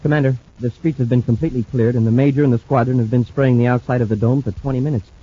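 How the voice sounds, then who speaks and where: Man acting an eager, quick-talking space cadet. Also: mid-range voice, fairly even intonation, natural voice. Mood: eager, dutiful. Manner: earnest, exclamatory. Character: eager, boyish male space cadet sidekick. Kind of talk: old-time radio sci-fi serial acting. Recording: noisy, muffled old radio broadcast recording.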